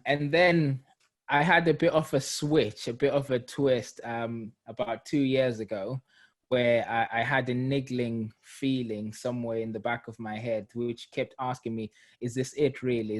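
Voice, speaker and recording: A man in his thirties from Zimbabwe, a guest telling his story in a relaxed, reflective way with many fillers. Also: mid-range voice, a little slow. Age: 30s. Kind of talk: casual interview.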